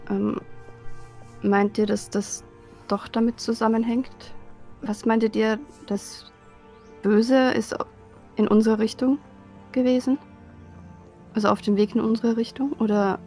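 A woman's voice: medium pitch, level tone, takes it slowly, long pauses, warm tone, soft background music. A woman taking part in a tabletop role-playing game in a casual, conversational way.

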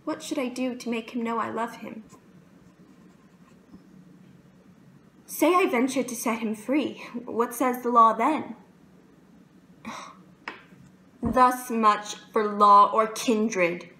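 Actress as a lovesick young maiden in Shakespeare, high bright voice, emphatic and theatrical, echoey room. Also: female voice, slow, full character voice. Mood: troubled, determined. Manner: theatrical, emphatic, with dramatic swells. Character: lovesick young jailer's daughter, yearning and impulsive. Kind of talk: Shakespearean stage monologue. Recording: roomy echo with faint background noise.

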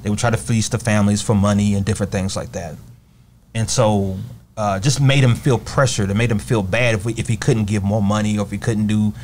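A middle-aged man speaks as a podcast guest in a small studio, his voice pitched on the low side. He talks earnestly into a close studio mic, telling his story in an easy, conversational flow and chiming in with quick agreement. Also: fairly even intonation, darkish tone, slight background noise. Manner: earnest, agreeable, backs the host with quick affirmations. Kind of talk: casual interview storytelling. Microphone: studio microphone close up, wearing headphones.

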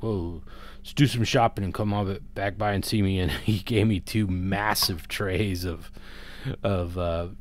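A man's voice: low voice, clean tone. A man in his fifties talking casually and unscripted to camera as a vlogger, giving advice in a rambling, hesitant, conversational way, close to the microphone. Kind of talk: casual unscripted vlog talk. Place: small room at home, indoors. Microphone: large microphone on a boom arm, close up.

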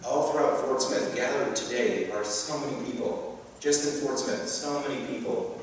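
A man's voice: mid-range voice, moderate pace, a little hiss or hum. A young man preaching a sermon from a pulpit, earnest and encouraging, with light humorous asides. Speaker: pastor. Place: church sanctuary, speaking from a pulpit. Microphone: pulpit microphone. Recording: echoey sanctuary, heard from a distance.

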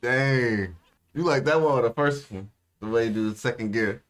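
A young American man giving casual reaction commentary with slang and expressive exclamations. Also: mid-range voice, moderate pace. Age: young adult. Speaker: reaction video host. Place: small indoor room.

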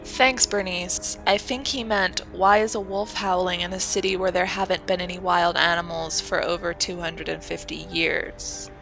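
Woman playing a bright, forceful young investigator. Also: lowish voice, fairly even intonation, gravelly, faint music behind, poor audio. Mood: wry. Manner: brisk, exasperated. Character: determined, skeptical young female investigator leader. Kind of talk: audio drama acting.

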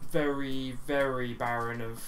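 A man's voice: medium pitch, little pitch movement, unhurried, a bit bright. A man talking casually as a player in a tabletop game. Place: small living room, group around coffee table. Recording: noisy room, open mic.

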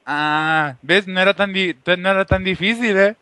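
A man playing an insistent, boastful foreign gamer on a prank call, halting and repetitive, with a put-on Moroccan accent. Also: high-pitched, bright, forceful tone, dry recording. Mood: halting, polite.

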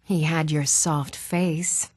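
A woman's voice: sits low, steady tone, takes it slowly, a little mellow, breathy edge, no echo, clean studio sound, exaggerated voice. A woman playing a plain-spoken, hardworking and motherly townswoman in a fantasy video game. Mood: conversational, firm. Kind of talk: scripted fantasy game dialogue.

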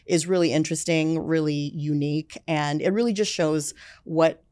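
Low-voiced woman guest, chatty and dry. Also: steady tone, normal speed, darkish tone, no echo. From United States. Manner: chatty, many fillers like "you know" and "like". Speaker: film music executive, guest. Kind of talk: casual interview chat. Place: dark studio set, seated in armchair. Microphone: podcast mic on boom arm.

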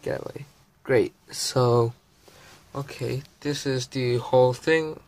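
Young male, teens or early twenties, hobby video creator. Unhurried, halting casual delivery at a middling pitch, unscripted, phone-recorded at home. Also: steady tone, darkish tone. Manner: halting, informal, stops to narrate handling the pieces. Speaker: hobby video creator presenting a toy build. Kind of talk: casual unscripted show-and-tell. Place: indoors, small room at home. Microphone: handheld phone behind the camera.

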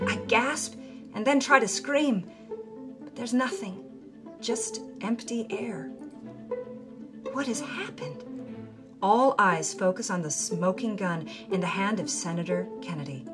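A woman giving a theatrical, scripted narration of a memoir, dropping to a breathy hush in quiet moments. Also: mid-range voice, slow pace, pauses often. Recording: music bed under the voice.

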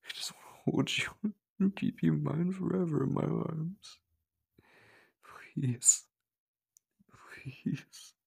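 A man playing an obsessive, possessive stalker lover in a horror ASMR roleplay, halting and breathy, clingy and menacing. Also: fairly high pitch, a little mellow, full character voice. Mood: pleading, hunting. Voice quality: husky, breathy. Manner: slow, halting, slipping between breathy whispers and urgent pleading. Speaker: voice actor.

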